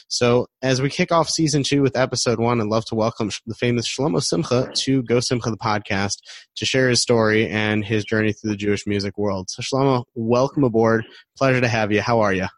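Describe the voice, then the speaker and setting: A man's voice: medium pitch, fairly quick, no echo. A man hosting a podcast in casual, effusive interview chat, often repeating himself. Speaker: podcast host.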